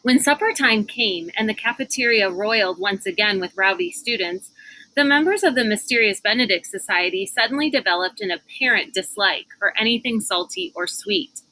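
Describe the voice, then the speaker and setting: A woman's voice: mid-range voice, lively intonation, moderate pace, pushed and bright, low-quality recording. A woman reading a children's book aloud outdoors on a porch, adding casual asides as she goes. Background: cicadas and air conditioner hum.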